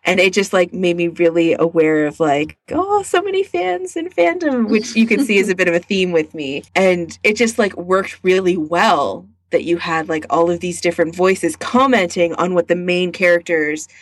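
Female podcast co-host, slightly low pitch, informal conversational delivery, close dry sound. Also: lively intonation, moderate pace, hardly any pauses, no echo. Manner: informal, peppered with "like". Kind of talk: casual podcast chat.

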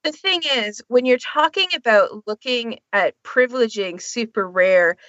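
A woman's voice: a little high, lively intonation, a little slow, fairly bright tone, dry recording. A woman co-hosting a podcast, talking casually in a discussion. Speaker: podcast co-host. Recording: dull, lo-fi audio.

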